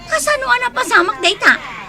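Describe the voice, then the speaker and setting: An actress playing an indignant village woman with an Ilocano accent, shrill and exclamatory in a comedy radio drama. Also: expressive, brisk. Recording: busy outdoor crowd sound behind.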